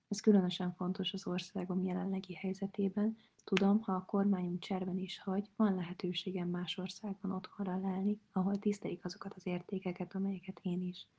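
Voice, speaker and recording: Husky woman, fast and flat. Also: mid-range voice. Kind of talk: prepared statement. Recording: dull lo-fi sound.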